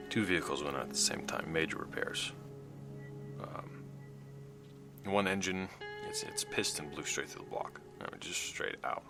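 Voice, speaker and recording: A very low, gravelly male voice, a weary working mechanic in a sci-fi audio drama. He talks haltingly and reflectively, like someone recording a sombre log, with music underneath. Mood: sombre recounting. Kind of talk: audio drama monologue, naturalistic.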